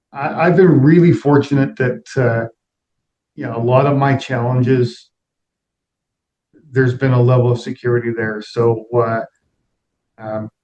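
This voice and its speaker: A middle-aged man speaks as an interview guest in a conversational register. His delivery is reflective and plain-spoken, with little rise and fall in pitch. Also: a little mellow, lo-fi sound. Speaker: interview guest, aviation executive.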